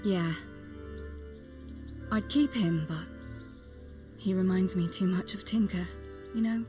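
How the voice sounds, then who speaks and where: A woman playing a caring, practical young village woman in a medieval video game, speaking gently and matter-of-factly with light teasing. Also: fairly low pitch, slow pace, over music. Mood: warm, coaxing. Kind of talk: video game cutscene acting, natural dialogue.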